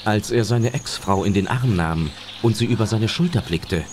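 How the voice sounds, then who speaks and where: A man's voice: fairly low pitch, moderate pace, noisy background. A man narrating a scripted German audio drama as its storyteller.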